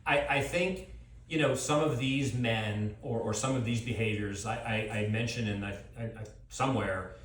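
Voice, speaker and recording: A middle-aged man speaks at a live author event in an echoey space. His pitch is average for a man, and he talks in a thoughtful, unscripted way, often qualifying and rewording as he goes. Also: moderate pace, fairly bright tone. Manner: reflective, hedging, circling back to rephrase. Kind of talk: thoughtful extemporaneous talk and audience Q&A. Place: live book event with an audience.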